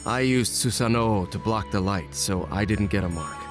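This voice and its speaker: A man voices a brooding anime game ninja, low and stern. He talks in grave, terse statements, with music clearly playing behind him. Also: moderate pace, natural voice. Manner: terse, grave, matter-of-fact. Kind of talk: English anime game dub acting.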